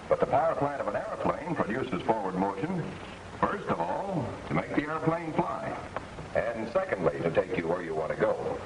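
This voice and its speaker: American 1940s training film narrator, very noisy soundtrack. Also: male voice, medium pitch, distant mic. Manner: clear didactic delivery, measured emphasis.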